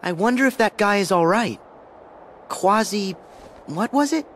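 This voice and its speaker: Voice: mid-range voice, lively intonation, a little slow, a bit bright, a little hiss or hum. An actor playing a brave, upbeat teenage boy hero in a video game, eager and direct. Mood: friendly, curious.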